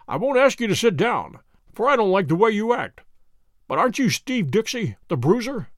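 Man doing a cool, unruffled sleuth: clipped, ironic, fairly high, lively pitch, dry sound. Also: normal speed, no echo. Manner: calm, clipped, ironic replies. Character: cool, languid male detective, dryly amused.

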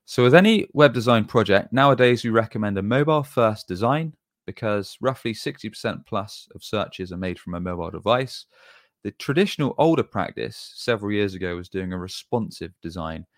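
Male tutorial presenter, lower register, informal explainer, very dry recording. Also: animated, fairly quick, no echo. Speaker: web design agency presenter.

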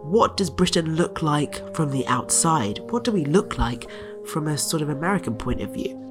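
A woman host giving a scripted intro to an episode in a measured, explanatory way. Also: sits low, lively intonation. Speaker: podcast host. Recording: guitar music under the voice.

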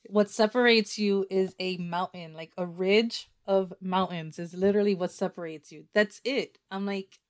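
A woman's voice: mid-range voice, steady tone, moderate pace, hardly any pauses. A young adult woman who is a guest on a podcast, talking casually, agreeable and laughing often. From Puerto Rican and Dominican family, in the US. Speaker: podcast guest and fellow podcast host.

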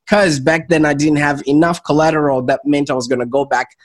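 Male interview guest, Zimbabwean accent. Higher-than-average pitch, conversational personal storytelling. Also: fairly even intonation, a little fast. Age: adult, 28 or older. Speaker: interview guest, entrepreneur and coach.

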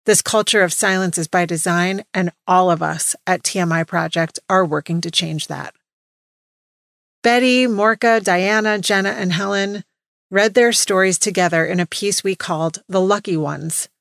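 A woman with a fairly low voice reads scripted podcast narration as the host. The recording is dry and close, with next to no room around her. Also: moderate pace, gravelly, fairly bright tone, no room sound. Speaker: podcast host introducing stories.

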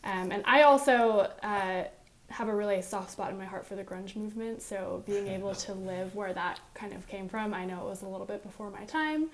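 A woman's voice: mid-range voice, fairly quick, slight background noise. A young woman from Seattle, upbeat and laughing easily in a casual chat. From Seattle, USA.

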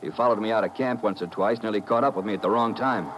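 A man's voice: on the low side, fast talker, fairly bright tone, close to an everyday voice. A man playing a curt, threatening gunman saboteur in a 1950s radio drama, on a noisy old recording. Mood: cold, menacing. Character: menacing male saboteur holding captives at gunpoint.

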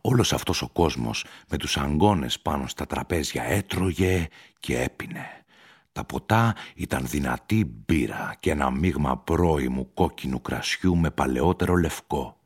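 Very deep-voiced man narrating a story. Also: lively intonation, slightly rough. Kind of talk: literary radio narration of a short story. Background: music.

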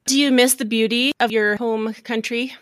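A woman's voice: a little high, fairly even intonation, moderate pace, no echo, clean studio sound. A woman hosting a podcast, moving between a prepared introduction and casual interview chat. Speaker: podcast host.

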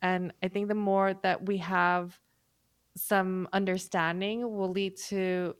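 A woman musician speaking as a guest in a casual panel talk, thoughtful and halting, with many fillers and restarts. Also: mid-range voice, level tone, clean tone, no echo, studio quality.

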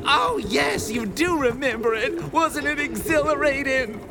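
A woman playing an excitable, chatty, theatrical young pigeon lover in an audio drama, gushing and easily flustered. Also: high voice, a little slow, music in the background. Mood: excited.

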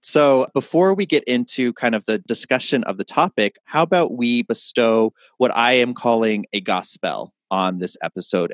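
A man's voice: medium pitch, no room sound. A man hosting a casual podcast, upbeat and chatty, explaining things as he goes. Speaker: podcast host.

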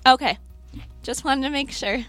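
Woman host, friendly and chatty, casual interviewer; high-ish voice, dry close sound. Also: moderate pace, fairly bright tone, no room sound, faint music behind. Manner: friendly, chatty, filler-heavy questions. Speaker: podcast host.

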